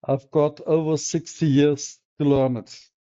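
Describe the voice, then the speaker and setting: Slow, mellow older man. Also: on the high side, flat delivery, clear, resonant tone. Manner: slow, deliberate, mildly teasing. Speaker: participant. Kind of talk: casual chat on a video call. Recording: muffled video call audio.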